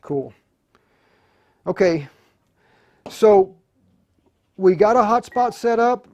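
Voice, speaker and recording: A man with a slightly high voice is hosting a hands-on tutorial. He explains things informally, stopping and starting as he thinks aloud, like a hobbyist radio operator showing the steps. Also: expressive, drawn-out words, darkish tone. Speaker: livestream tutorial host, amateur radio operator. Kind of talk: casual hands-on demonstration.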